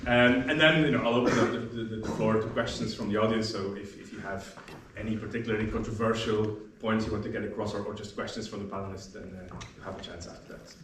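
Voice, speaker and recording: A man's voice: fairly low pitch, steady tone, fast, hardly any pauses, a crowd in the background. A man moderating a workshop panel, joking loosely and restarting his sentences often. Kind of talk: introducing a panel discussion. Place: lecture room, workshop audience. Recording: very echoey room, distant mic, faint noise.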